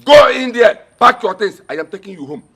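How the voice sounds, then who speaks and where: A man's voice: extremely high pitch, expressive, fairly quick, a bit bright, breathy edge, full character voice. An actor playing a taunting, pushy male in-law who mocks and gives orders. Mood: scornful. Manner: mocking, commanding.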